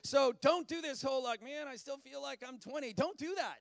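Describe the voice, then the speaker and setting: A man in his forties preaching a sermon, reading scripture and breaking into animated, conversational asides. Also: extremely high pitch, fairly quick, vocal fry, dry recording, clean studio sound. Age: 40s. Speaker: pastor.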